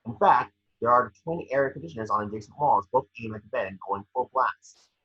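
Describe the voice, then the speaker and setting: A man reading scene descriptions as the narrator of a scripted table read, with a husky voice. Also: mid-range voice, animated, a little fast, muffled recording, a little hiss or hum. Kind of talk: scripted narration of screenplay action.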